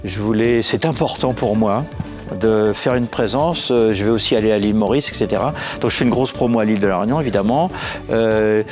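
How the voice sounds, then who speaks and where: A man answering interview questions haltingly, with many fillers and restarts. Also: lowish voice, music in the background. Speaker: interviewee at a francophonie event.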